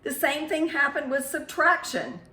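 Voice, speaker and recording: A woman's voice: sits high, normal speed, bright, forceful tone. A woman teaching young children in an encouraging way, with simple phrasing and questions, as in a math lesson or storytime. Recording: echoey room.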